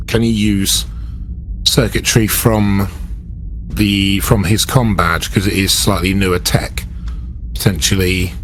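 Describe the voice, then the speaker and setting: Tentative, low-voiced male tabletop player. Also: fairly bright tone, a little hiss or hum, rough recording. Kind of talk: casual game discussion.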